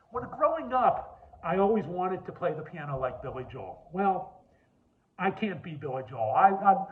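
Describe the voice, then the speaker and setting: A man with a deep voice giving an earnest personal talk, telling his story and repeating points for emphasis. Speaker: speaker on lawyer wellbeing. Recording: tinny phone-like line.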